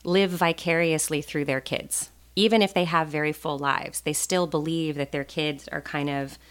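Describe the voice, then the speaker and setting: Low-voiced American woman, chatty storyteller. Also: steady tone, normal speed, clear, resonant tone. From born in Taiwan, raised in a US Navy family. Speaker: podcast guest. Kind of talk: casual conversational storytelling.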